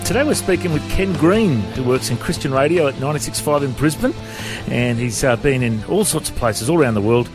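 A man hosting an interview podcast on location, asking questions in a friendly, quick way. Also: medium pitch, lively intonation, over music. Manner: friendly, quick, affirming with short "mm"s. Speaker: podcast host. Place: on location abroad.

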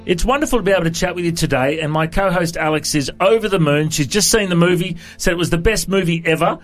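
Male host, friendly, slightly high voice, faint music underneath. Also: fast. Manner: friendly, steady questions with "uh" fillers. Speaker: radio host. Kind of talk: interview hosting.

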